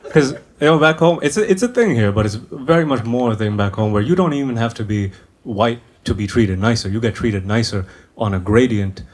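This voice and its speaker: A man from Sri Lanka doing conversational stand-up comedy in a hesitant, deadpan way on a stage microphone at a live venue. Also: medium pitch, a little fast. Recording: noisy live show recording.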